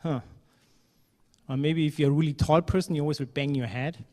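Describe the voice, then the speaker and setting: A man's voice: on the high side, fairly even intonation, a little fast, darkish tone. A man from Germany giving a conference talk in a hall, speaking conversationally with fillers and now and then a phrase that sounds non-native. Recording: reverberant hall.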